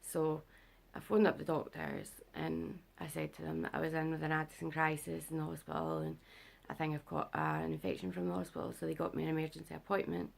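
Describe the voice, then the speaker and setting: A young British woman talks to camera in a low, deep register, her voice heavily gravelly and rough from illness. She rambles through a casual update full of fillers, sounding worn out and short on sleep. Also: animated, brisk, few pauses. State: unwell and sleep-deprived. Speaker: vlogger. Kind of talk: casual health update vlog.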